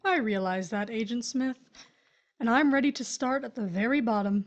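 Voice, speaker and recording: A woman playing a shrewd, vengeful widow who calmly takes charge, in an audio horror drama. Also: a little high, moderate pace, dull audio, lightly acted. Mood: coolly triumphant.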